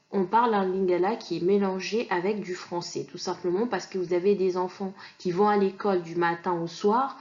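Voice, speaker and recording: A woman's voice: medium pitch, highs cut off. A woman from Kinshasa teaching an online language lesson, explaining patiently with many fillers and restarts. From Kinshasa, DR Congo.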